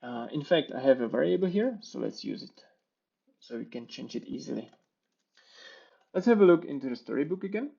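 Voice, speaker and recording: Tech speaker, man, gravelly, methodical demo explainer, lo-fi mic. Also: medium pitch, brisk, long pauses. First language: Czech. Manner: explanatory, steady walkthrough with small restarts. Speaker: conference speaker. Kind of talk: live-coding tech talk.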